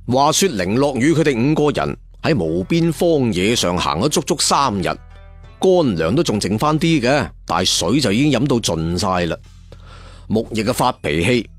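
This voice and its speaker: A man telling a serial story in a theatrical, colloquial traditional storyteller style. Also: medium pitch. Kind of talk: dramatic serial storytelling narration.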